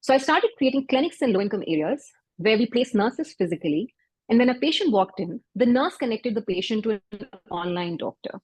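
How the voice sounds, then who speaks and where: A Pakistani-accented woman speaks in a slightly breathy, husky voice, earnest and measured as she addresses an event audience. Her first language is Urdu, and she now and then restarts a phrase. The sound is close and dry, with no room echo. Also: mid-range voice, darkish tone. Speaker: doctor and health startup founder. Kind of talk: event talk.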